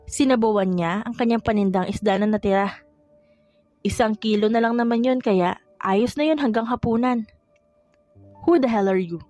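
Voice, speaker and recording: A woman's voice: on the high side, moderate pace, a little mellow, no echo. A woman reading scripted romance fiction as an audiobook narrator. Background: music.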